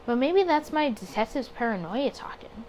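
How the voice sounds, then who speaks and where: A woman's voice: on the high side, animated, normal speed, darkish tone, slight background noise, natural voice. A woman playing a wary, grumbling male detective in a 1920s visual novel, with a sardonic, suspicious manner.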